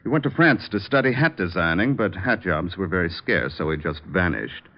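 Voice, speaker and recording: A man's voice: deep voice, expressive, normal speed. A man acting an evasive, fussy, indignant crooked estate executor in an old-time radio crime drama. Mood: flustered, indignant. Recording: dull vintage radio recording.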